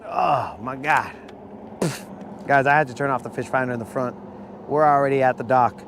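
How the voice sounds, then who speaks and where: Hoarse, slangy fishing host outdoors. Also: male voice, mid-range voice, normal speed, fairly bright tone, slight background noise. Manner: casual, slangy, calls viewers bro. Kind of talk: live-stream chat while fishing. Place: outdoors on a boat on a lake.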